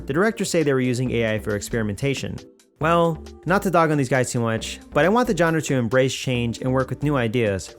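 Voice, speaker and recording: Guy doing film critique voiceover, opinionated, pitch moves around a lot, brisk talker. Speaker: video essayist. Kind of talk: scripted video essay narration, film criticism. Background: background music.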